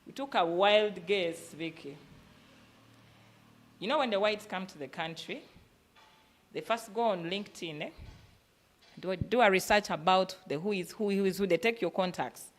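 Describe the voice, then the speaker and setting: Female workshop speaker, Ugandan accent, mid-pitched voice, motivational business delivery built on pointed rhetorical questions. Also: normal speed, long pauses. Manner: emphatic, asking rhetorical questions and answering them.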